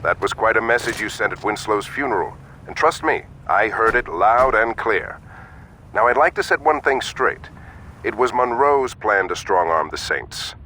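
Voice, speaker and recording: A slick older politician in a crime game, with a bright, forceful voice that swings widely in pitch. He is genteel and ingratiating, and a noisy rumble sits behind him. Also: lively intonation, moderate pace. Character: smooth-talking, scheming older male politician. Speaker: actor voicing a city alderman in a crime game. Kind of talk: video game cutscene acting. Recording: background rumble and noise.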